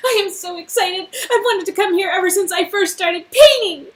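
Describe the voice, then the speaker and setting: Lady doing a gushy young painter girl from a story game, super high and bright. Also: moderate pace. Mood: excited. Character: eager, gushing young woman painter, sweet art lover.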